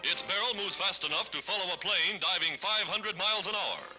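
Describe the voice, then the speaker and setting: A man's voice: high-pitched, lively intonation, brisk, music in the background, rough recording. An American man narrating a 1940s newsreel from a script, with an emphatic, declamatory delivery on a vintage film soundtrack.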